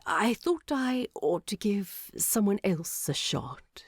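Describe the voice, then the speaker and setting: A woman voicing a tired, fussy, gentle male hobbit in a fantasy story, with a hesitant, muttering manner. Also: medium pitch, big pitch swings, takes it slowly, breathy edge, no echo, studio quality, heavily acted. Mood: wan.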